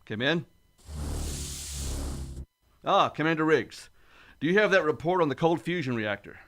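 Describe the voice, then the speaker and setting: A man playing a steady starship captain in a sci-fi radio play, speaking with formal military authority. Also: fairly high pitch, measured pace, a little hiss or hum. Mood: brisk, commanding.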